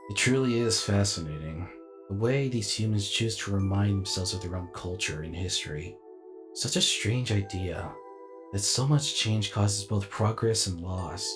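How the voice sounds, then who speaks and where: A man playing a weary, reflective alien robot warrior in a dramatic inner monologue, speaking deliberately and formally. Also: fairly low pitch, over music, lightly acted. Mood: fascinated, pensive.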